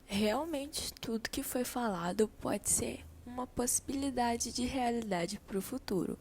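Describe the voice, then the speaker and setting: A woman's voice: a little high, measured pace, a little mellow, a little hiss or hum. A woman with a Brazilian accent playing an encouraging schoolteacher who addresses her class informally in an amateur radio play. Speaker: teacher leading a class discussion.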